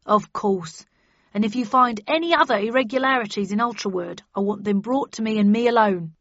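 A woman voicing a stern but kindly male official in an audiobook, with a brisk, commanding manner. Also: medium pitch, a bit bright, no echo, dull audio. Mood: pleased, kindly. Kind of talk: audiobook character acting.

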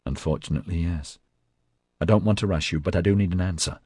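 A man's voice: low-pitched, fast, mellow, slightly rough, no room sound, clean studio sound. A man voicing a tough, coolly menacing woman in a narrated novel, curt and controlled. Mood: brisk, dry.

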